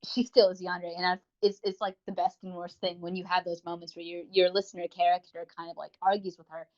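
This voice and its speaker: A woman podcast co-host chats very quickly in a casual, rambling, enthusiastic way. Her voice sits a little low for a woman. The recording is somewhat dull and lo-fi. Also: flat delivery. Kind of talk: casual review chat. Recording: dull lo-fi audio.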